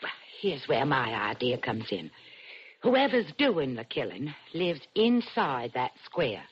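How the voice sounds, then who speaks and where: An actress in an old-time radio drama playing an anxious, nagging, sharp-tongued working-class wife with an argumentative, theatrical delivery. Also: female voice, extremely low pitch, sing-song, takes it slowly, slightly rough, full character voice. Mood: eager, scheming. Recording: dull, noisy old radio recording.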